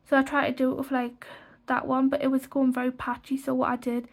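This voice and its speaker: A woman's voice: sits high, monotone, a little fast. A young woman, stuffy from a cold, chatting casually through a colouring book flip-through with lots of "like" fillers. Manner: rambling, many "like" fillers and restarts. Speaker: colouring channel host.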